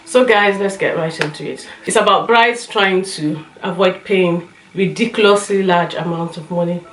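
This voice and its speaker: A woman with a slightly hoarse voice, chatting casually as a vlogger giving her opinions and telling anecdotes. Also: on the low side, normal speed, fairly bright tone, slight background noise. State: slightly hoarse, recovering from a cough. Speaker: YouTube lifestyle and beauty vlogger. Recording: roomy echo, light music underneath.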